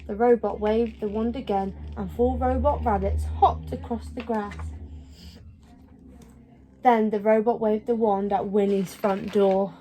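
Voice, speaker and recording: A woman reading a children's story aloud in an expressive storytelling style. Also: mid-range voice, measured pace, slight background noise, poor audio. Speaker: storybook reader.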